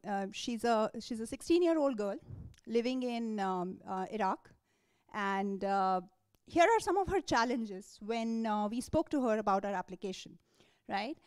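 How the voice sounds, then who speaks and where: A woman's voice: fairly high pitch, no room sound, clean studio sound. A woman giving a live conference talk. She speaks conversationally, with many fillers and restarts, and puts questions to the room.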